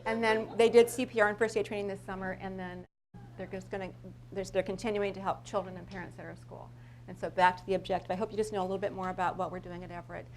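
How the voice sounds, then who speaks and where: A woman's voice: mid-range voice, flat delivery, quick pace, darkish tone, rough recording. An American woman giving an informal live presentation as a school principal, telling stories in a conversational, rambling way and often rephrasing mid-sentence. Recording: live talk with background noise and hum.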